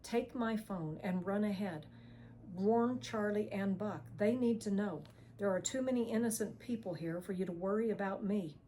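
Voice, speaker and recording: A woman's voice: mid-range voice, normal speed, mellow, a little hiss or hum, lightly acted. A woman narrator voicing an injured young man urging his companion to flee. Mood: pleading. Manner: urgent, pleading, breathless. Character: wounded protective young man, weakening.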